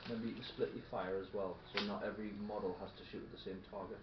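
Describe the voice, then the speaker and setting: Male gamer coaching casually through rules, fairly low voice, dull room recording. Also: fairly even intonation, racing. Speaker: tabletop wargame player coaching opponent. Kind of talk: casual game-table chat, explaining rules. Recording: muffled, echoey room with faint noise.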